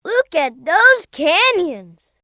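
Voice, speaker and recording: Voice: big pitch swings, extremely slow, bright, forceful tone, dry recording, heavily acted. A performer voicing an earnest, eager little boy fox sidekick in a video game, with a childlike voice. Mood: curious. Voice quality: high, childlike.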